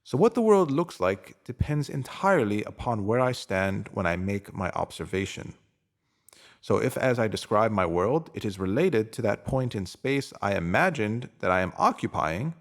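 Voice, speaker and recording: A man narrating a motivational spiritual essay aloud in an earnest, instructive manner. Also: fairly low pitch.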